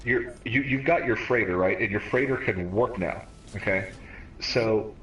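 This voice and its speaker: A man's voice: on the low side, unhurried, a bit bright. A man in his thirties, raised in America, hosting a casual gaming stream chat and rambling with repeated words as he thinks aloud. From Cayman Islands, raised in America. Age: 30s. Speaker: stream host. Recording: roomy, distant mic with faint noise.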